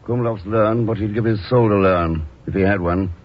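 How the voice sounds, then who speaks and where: An actor playing a calm, dryly sardonic espionage hero with a husky voice in a 1950s radio drama. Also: male voice, on the low side, normal speed, lightly acted. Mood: cool, assured. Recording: muffled old radio recording.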